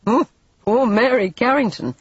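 A proud, touchy male painter, quick to fury and bitter with himself, as an audiobook reader plays him. His speech swings widely in pitch and carries a raspy, breathy grain. It sounds dry and dull, as from a plain home recording. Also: very animated, dry recording. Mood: wry. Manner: bitter, impatient, sweeping.

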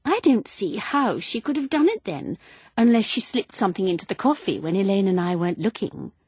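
A woman voicing an excitable, prying, plump middle-aged woman in a storybook reading. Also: mid-range voice, fairly quick, breathy edge, no room sound, pitched above the performer's own voice. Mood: eager gossip. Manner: eager, breathless, prying.

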